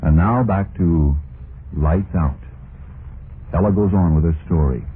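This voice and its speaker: A man's voice: low voice, a little slow, slightly rough, full character voice. A man playing a grave horror show announcer, portentous and theatrical in the old-time radio manner. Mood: ominous. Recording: tinny, noisy vintage radio recording.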